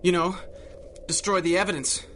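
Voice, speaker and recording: Rattled gangster flunky, high pitched, stammery slang, old radio show, bit of background noise. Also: male voice, steady tone, moderate pace, a bit bright. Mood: frightened. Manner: slangy tough-guy talk, easily rattled. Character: nervous, whining low-level male gangster with a Tommy gun. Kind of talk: old-time radio drama acting.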